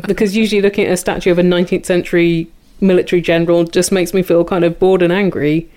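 A woman's voice: lowish voice, flat delivery, quick pace, mellow. A woman chatting satirically as a comedy panellist, with a wry, informal manner.

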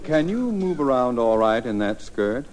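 Pompous, flustered radio comedy mayor. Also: male voice, medium pitch, very animated, measured pace. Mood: dry composure. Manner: formal, exasperated, tongue-tied when riled. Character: pompous, easily flustered mayor who tangles his words. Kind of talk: 1940s radio comedy acting. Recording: noisy old radio recording before audience.

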